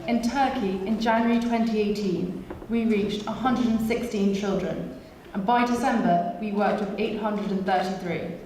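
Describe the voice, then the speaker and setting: A woman's voice: mid-range voice, fairly even intonation, moderate pace, a little mellow, slight background noise. A woman charity worker reporting figures in a plain way. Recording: echoey hall from far off.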